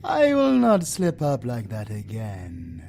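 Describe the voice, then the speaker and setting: A man's voice: medium pitch, wildly swooping pitch, slow, gravelly, mellow, a little hiss or hum, exaggerated voice. A British man playing a commanding, devoted alpha werewolf in a romantic audio roleplay, intimate and menacing. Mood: tender, brooding.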